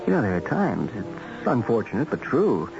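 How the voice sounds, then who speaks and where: Smooth American recruiter of killers, lively pitch. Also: male voice, measured pace. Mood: coolly persuasive. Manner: insinuating, matter-of-fact persuasion with casual hesitations. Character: smooth male trade editor recruiting a respectable contract killer. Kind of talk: 1970s American radio drama acting. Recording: muffled old radio broadcast, music bed.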